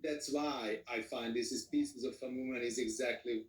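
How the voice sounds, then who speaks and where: A man with a Hungarian accent who speaks English as a second language, answering as a film director in a panel Q&A, halting and repeating words. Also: mid-range voice, steady tone, distant mic. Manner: halting, repeats words, non-native phrasing. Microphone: video call. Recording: roomy video call audio from off-mic.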